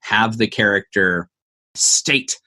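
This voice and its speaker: A man's voice: fairly low pitch, slow pace, sharp tone, rough recording. A man giving thoughtful, conversational answers as an interview guest, explaining things with frequent asides. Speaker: interview guest, audio drama maker.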